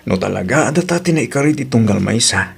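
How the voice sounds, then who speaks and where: A man's voice: medium pitch, expressive, a little fast, gravelly, slightly rough, full character voice. A man with an Ilocano Filipino accent playing a pleading male suitor in an audio drama. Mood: apologetic.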